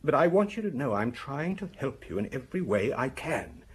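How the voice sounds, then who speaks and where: An actor playing a weary, defensive husband under police questioning in a radio drama, speaking hesitantly and explaining himself in broken sentences. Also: male voice, medium pitch, big pitch swings, brisk, husky. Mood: weary, candid.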